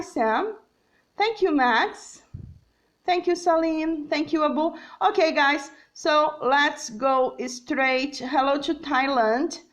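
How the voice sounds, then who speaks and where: Slow Brazilian woman teaching English. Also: sits high. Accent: Brazilian Portuguese. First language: Portuguese. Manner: slow and deliberate, with many fillers and checks like "all right?". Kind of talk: live-streamed lesson reading comments.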